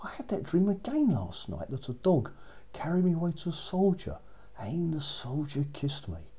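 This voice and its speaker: A man voicing an innocent young princess in a children's story, with a breathy voice. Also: fairly high pitch, very animated, moderate pace, mellow, pitched above the performer's own voice. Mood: dreamy. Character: young princess telling her dream, innocent.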